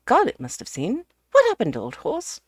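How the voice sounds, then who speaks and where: A woman reading aloud, voicing a hearty, bossy, bustling middle-aged Englishman who talks loud and brisk. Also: fairly high pitch, huge pitch swings, no room sound, clean studio sound, pitched above the performer's own voice.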